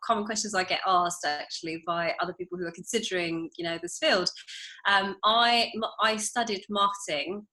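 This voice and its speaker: Bright-voiced chatty woman. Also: mid-range voice, poor audio. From France. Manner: chatty, laughs often, quick asides. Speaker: interior designer, guest. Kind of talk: casual interview storytelling.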